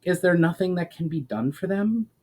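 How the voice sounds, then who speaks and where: A man's voice: high-pitched, expressive, moderate pace, a little mellow, breathy edge, voice pushed up. A man voicing an earnest, inquisitive noblewoman in a fantasy storybook reading, with formal, questioning delivery. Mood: curious, concerned.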